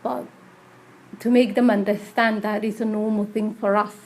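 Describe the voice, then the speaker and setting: A woman's voice: medium pitch, little pitch movement, normal speed. A woman who works as a community health practitioner, talking conversationally in an interview.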